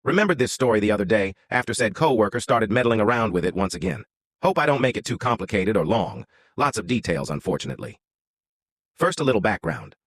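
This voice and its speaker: A man's voice: lowish voice, lively intonation, fast talker, no room sound, studio quality. A man reading out an online story as a scripted narration.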